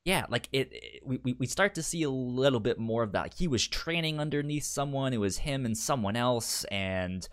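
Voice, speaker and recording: A man co-hosting a casual book-club podcast, talking in a halting way with many restarts. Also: mid-range voice, animated, smooth. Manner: halting, repeats and restarts words. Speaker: podcast co-host.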